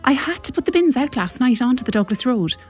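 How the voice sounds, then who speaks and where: A self-pitying suburban Cork wife in her forties. Her voice is high and a little husky, and she tells her woes in a dramatic, gossipy comic monologue over faint music. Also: sing-song, quick pace, a little mellow, exaggerated voice. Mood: aggrieved, self-pitying. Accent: Irish, Cork. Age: 40s.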